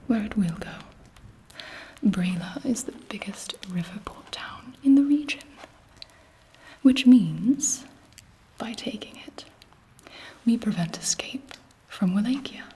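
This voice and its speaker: A woman voicing a scheming, haughty vampire queen in a breathy, deliberate ASMR whisper. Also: a little high, lively intonation, mellow, slight background noise, rough recording. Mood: conspiratorial, calculating. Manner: slow, deliberate, imperious. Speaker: ASMR performer.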